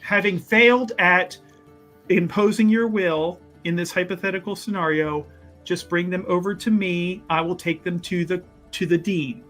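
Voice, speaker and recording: Guy doing a smooth talking schemer for a tabletop rpg, kinda high sharp voice, halting, coaxing, fake supportive. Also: fairly even intonation, faint music behind. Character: smooth-talking scheming male adventurer, condescendingly reassuring. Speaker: tabletop role-playing player. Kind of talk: improvised in-character actual play.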